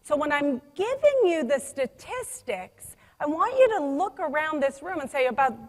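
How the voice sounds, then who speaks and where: A woman giving a conference presentation, energetic and emphatic, with a loud voice and frequent restarts. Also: sits high, normal speed. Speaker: conference speaker. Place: conference room. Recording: long hall reverb.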